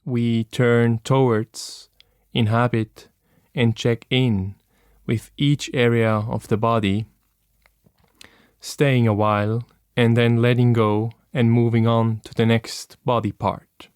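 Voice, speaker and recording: A man leading a guided meditation as an instructor, speaking calmly and gently with long, unhurried pauses. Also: mid-range voice, smooth, no echo, studio quality.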